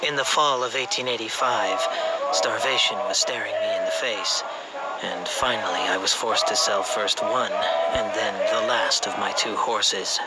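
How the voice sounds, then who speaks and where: A man playing a 19th-century swindler who calmly confesses his crimes in formal, measured, old-fashioned language, as a dramatised reading. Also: natural voice. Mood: grave. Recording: very noisy old-recording hiss and rumble.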